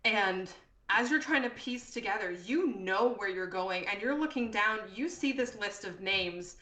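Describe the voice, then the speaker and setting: Bright-voiced woman game master, roomy sound. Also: mid-range voice, expressive, measured pace. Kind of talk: improvised narration of a tabletop role-playing game. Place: indoor room, live online stream.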